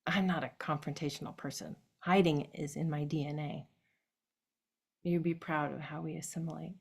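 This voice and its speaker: A woman's voice: deep voice, steady tone, normal speed, vocal fry, slightly rough. A woman performing a reflective autobiographical monologue on stage, speaking directly to her grandfather.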